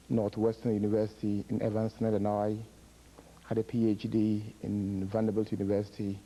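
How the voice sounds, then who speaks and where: A middle-aged man from Ghana, directing a public health project, explaining a disease's history in measured, halting answers with repeated words and fillers. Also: fairly low pitch, creaky, dark tone, a little hiss or hum, rough recording.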